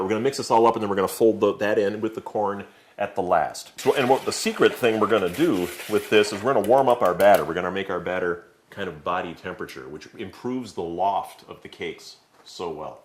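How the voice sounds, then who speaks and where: A man working as a TV chef, explaining each cooking step in a wry, articulate way. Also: on the low side. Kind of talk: cooking show demonstration.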